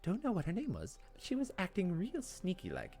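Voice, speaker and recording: A man's voice: high-pitched, huge pitch swings, a little fast, mellow, faint music behind, pitched above the performer's own voice, heavily acted. A man voicing a folksy young woman who sells herbs in a fantasy game, friendly but guarded, with rustic turns of phrase. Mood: helpful.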